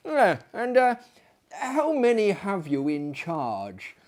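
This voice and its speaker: A man playing a high-voiced, hesitant gentleman visitor. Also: huge pitch swings, exaggerated voice. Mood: politely curious. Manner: halting, tentative, genteel. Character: polite, hesitant, nervously curious gentleman visitor.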